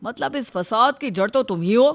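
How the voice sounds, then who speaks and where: A woman's voice: a little high, expressive, moderate pace, voice pushed up. A woman voicing an angry, domineering middle-aged shopkeeper father-in-law in a moral story, scolding and accusing. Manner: scolding, accusatory, self-important. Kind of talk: acted dialogue in a moral story.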